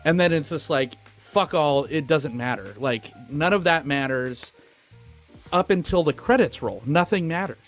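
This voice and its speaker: A man with a fairly high voice hosts a casual film podcast. He talks informally, swearing freely, with animated delivery, and music plays behind him. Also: normal speed. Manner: animated, informal retelling with acted-out asides. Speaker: podcast host. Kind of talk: casual film discussion, profane.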